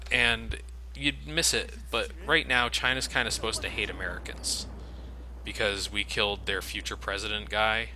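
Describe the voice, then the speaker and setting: Low-voiced man doing casual game commentary. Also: steady tone, moderate pace, bright, forceful tone, slight background noise, poor audio. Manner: sarcastic, joking. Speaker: Let's Play commentator playing the game. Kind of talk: casual gameplay commentary with profanity. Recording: game gunfire behind the voice. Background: video game gunfire and ambience.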